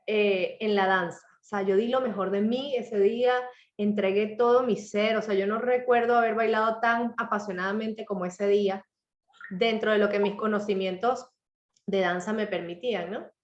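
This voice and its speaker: A woman with a slightly hoarse throat, telling a personal story to a live audience in a reflective, motivational way. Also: fairly high pitch, reverberant, lo-fi sound. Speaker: author and speaker.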